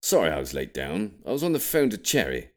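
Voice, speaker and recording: A man's voice: sits low, wildly swooping pitch, brisk. A man voicing a genial, easygoing old grandfather in an audiobook, chatty and mildly teasing. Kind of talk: audiobook character voice.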